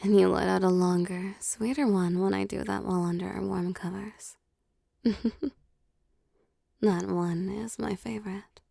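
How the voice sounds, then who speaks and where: Slow, mellow vampire CEO played by a woman, doting. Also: medium pitch, fairly even intonation, gravelly, dry recording. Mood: doting, playful. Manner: slow, teasing, pampering, softly commanding. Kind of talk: intimate roleplay audio acting.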